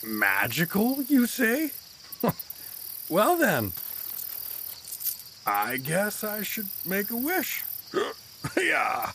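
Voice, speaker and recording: Man playing an oafish, tipsy, slow-talking dad. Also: high voice, wildly swooping pitch, sharp tone, a little hiss or hum, exaggerated voice. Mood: giddy. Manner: rambling, goofy. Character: oafish, tipsy, rambling middle-aged dad, cheerfully oblivious. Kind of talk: fantasy comedy audio drama acting.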